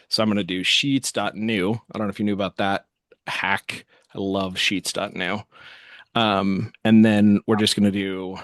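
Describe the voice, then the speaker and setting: A man giving a casual software walkthrough as host, thinking aloud as he works. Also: fairly low pitch, no room sound, studio quality. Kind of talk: casual screen-share walkthrough.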